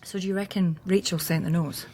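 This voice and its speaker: An actress playing a sharp, wry younger police detective in a radio drama, probing and dryly teasing. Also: female voice, on the low side, fairly even intonation, a little fast, lightly acted. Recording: clear background ambience noise.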